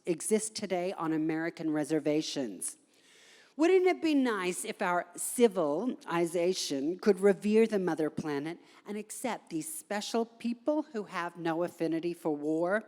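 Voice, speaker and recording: A woman's voice: on the low side, a little mellow. A woman giving a theatrical live reading of a humorous article as a guest performer at an event. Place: indoor gallery event space, before an audience. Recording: echoey hall recording.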